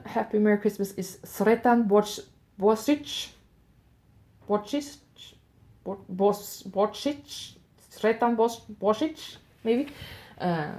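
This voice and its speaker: A woman with a Swedish accent hosts a solo audio show in an easy, improvised way, thinking out loud and asking her listeners questions. Her voice is dark and mellow, with a gravelly fry running through it. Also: mid-range voice. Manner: chatty, musing aloud with curious asides to listeners. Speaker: host of an audio advent show. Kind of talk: improvised solo radio-style talk.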